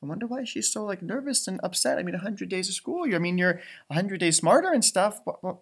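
Storybook reader, male, 30s. Expressive and chatty, highly varied intonation, stretches key words for kids. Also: gravelly, breathy edge. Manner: expressive, chatty, drawn-out emphasis. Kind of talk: animated read-aloud with casual asides.

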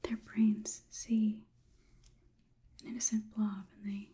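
A young woman whispering softly and deliberately in an ASMR roleplay, playing a curious, logical robot overlord who questions the listener clinically. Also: medium pitch, little pitch movement. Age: young adult. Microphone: close ASMR microphone.

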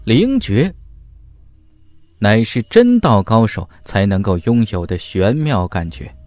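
Male narrator, fantasy audiobook, emphatic storytelling, animated pitch, dry close recording. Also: takes it slowly, no room sound, soft background music. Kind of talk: dramatic scripted narration of a fantasy novel.

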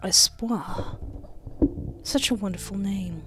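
A young woman hosting a game playthrough on a close microphone, reading text aloud and adding animated, jokey asides. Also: mid-range voice, slow. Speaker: gaming video host. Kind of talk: playful let's play commentary mixed with reading game text aloud. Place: indoor home recording setup. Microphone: close desktop microphone with headset. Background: game music.

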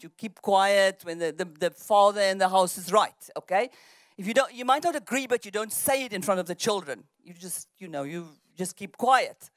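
A woman's voice: mid-range voice, dry recording. A woman in her sixties from South Africa whose first language is Afrikaans, speaking thoughtfully with frequent restarts as an author on an outdoor festival panel, into a handheld mic. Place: outdoor festival stage among trees. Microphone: handheld microphone.

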